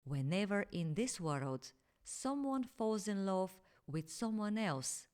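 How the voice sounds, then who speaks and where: Slow, solemn woman reading spiritual verses. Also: animated, a little mellow, clear, resonant tone. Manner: measured and solemn, drops low on each "my friend". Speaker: reader of spiritual teachings. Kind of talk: slow recited spiritual address.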